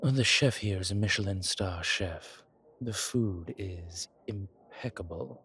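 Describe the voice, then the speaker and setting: A man playing a suave, grateful old vampire in a roleplay audio, speaking formally with pauses and quiet amusement. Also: deep voice, slightly rough, exaggerated voice. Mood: grateful, courteous. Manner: genteel, formal, hesitant pauses, soft chuckles. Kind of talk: intimate one-sided roleplay audio drama.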